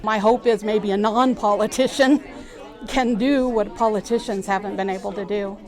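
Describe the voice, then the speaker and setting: A woman's voice: medium pitch, fairly even intonation, moderate pace, darkish tone, faint music behind, a faint crowd. A woman campaign volunteer giving casual, conversational interview answers in an anecdotal way.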